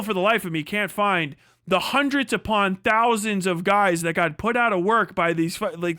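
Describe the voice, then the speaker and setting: A man's voice: high-pitched, sharp tone, no room sound, clean studio sound. An American man in a casual livestream rant, swearing often and arguing emphatically. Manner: profane, emphatic, argumentative. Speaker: livestream host.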